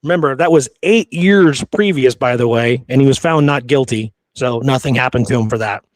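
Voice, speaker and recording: A man's voice: mid-range voice, moderate pace, dry recording. A man hosting a history show, telling stories conversationally from his research notes with casual, amused asides.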